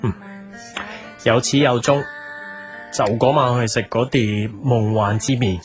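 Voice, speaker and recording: A man playing a hapless, defensive young cafe owner in an audio drama, speaking Cantonese with a Hong Kong accent in an expressive, exasperated way. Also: medium pitch, measured pace, lightly acted. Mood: wistful, resolute. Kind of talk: audio drama with introspective narration and dialogue. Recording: music behind the voice.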